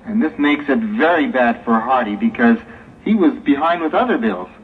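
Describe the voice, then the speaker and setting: A man's voice: medium pitch, lively intonation, moderate pace, slightly rough. A man playing an earnest, engaged young man soberly weighing his plans in a 1960s religious audio drama. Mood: grave, concerned. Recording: muffled, hissy 1960s tape, phone-like.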